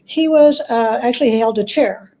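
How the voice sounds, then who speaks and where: A woman speaking as a guest expert, explaining history conversationally and often pausing to search for words. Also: a little high, dark tone. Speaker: guest expert, biographer and scholar.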